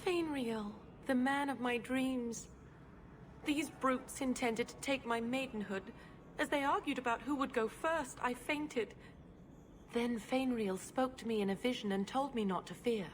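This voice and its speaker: A woman acts a naive young maiden in a fantasy game, earnest and dreamily in love. The voice sits fairly high, with faint noise behind it. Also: unhurried, natural voice. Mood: dreamy, adoring.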